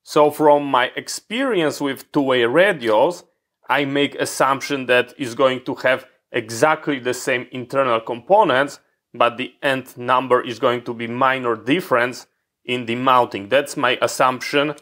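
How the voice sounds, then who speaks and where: A man talks through an electronics repair at his workbench, pausing between phrases as he points things out. His voice sits at an ordinary middle pitch and his pace is unhurried. His phrasing is slightly non-native, patient and explanatory. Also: fairly bright tone. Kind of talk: hands-on repair tutorial.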